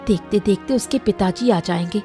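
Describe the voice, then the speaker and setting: A woman's voice: medium pitch, moderate pace, over music, voice pushed down, close to an everyday voice. A woman voicing a sulky, resentful rival hotel-keeper, speaking curtly in a cartoon story style. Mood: grudging. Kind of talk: Hindi cartoon moral story acting.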